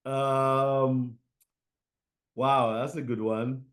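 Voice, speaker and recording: A middle-aged man from Haiti answering interview questions, halting with many fillers and restarts. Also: medium pitch, little pitch movement. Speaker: guest, fashion designer.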